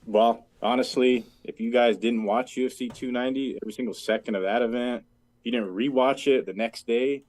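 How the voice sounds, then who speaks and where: A man's voice: mid-range voice, fairly even intonation, a little fast, a little mellow. A man co-hosting a fight podcast, talking in loose, rambling sentences full of fillers. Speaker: podcast co-host. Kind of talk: casual podcast chat.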